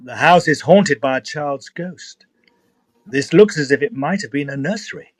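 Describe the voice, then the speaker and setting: A man playing a brisk, sceptical gentleman ghost investigator who narrates a period audio drama with dry pomposity. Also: fairly high pitch, natural voice. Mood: brisk, commanding.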